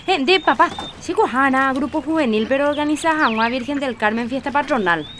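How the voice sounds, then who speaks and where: A woman's voice: high-pitched, animated, fairly quick, fairly bright tone. A young woman playing an outspoken daughter who questions her father in a radio drama, with a Paraguayan accent. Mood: chatty. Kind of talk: radio drama acting in Jopara. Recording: noisy rural ambience.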